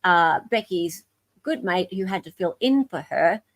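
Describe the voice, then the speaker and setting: A woman's voice: on the low side, lively intonation, a little slow, dry recording. A woman with an Australian accent hosting a casual live group chat in a chatty, informal, moderating way. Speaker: livestream host.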